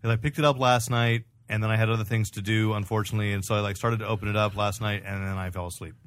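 A man's voice: lowish voice, flat delivery, fast talker, fairly bright tone, a little hiss or hum. A man hosting a podcast in casual chat, with a wry, teasing way of talking. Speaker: podcast host and editor-in-chief.

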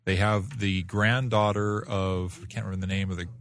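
Male podcast panelist, lower-pitched voice, casual conversational style. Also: steady tone, moderate pace. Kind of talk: casual chat.

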